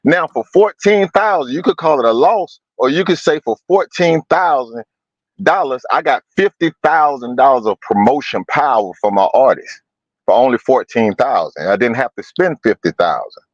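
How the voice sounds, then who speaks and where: A man's voice: medium pitch, lively intonation, moderate pace, no room sound, highs cut off. A man on a stream panel pushing back with worked examples, speaking. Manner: pushes back, builds argument with examples. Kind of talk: live-stream panel debate.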